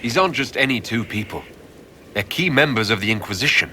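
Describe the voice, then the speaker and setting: A man's voice: mid-range voice, expressive, brisk, pushed and bright, slight background noise. A man playing a firm, direct leader of a fantasy order in video game dialogue. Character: male leader of a fantasy order, pointed, questioning.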